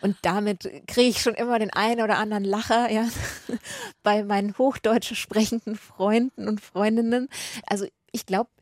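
A woman's voice: mid-range voice, a little mellow, dry recording. Dry-sounding woman from Franconia. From Franconia, Bavaria, Germany. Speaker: interview guest. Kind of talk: reflective conversational storytelling.

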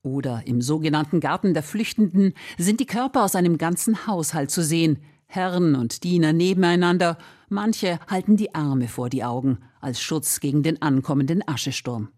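A woman's voice: low-pitched, expressive, moderate pace, hardly any pauses, a little mellow. A woman reading scripted narration for a radio feature as its reporter.

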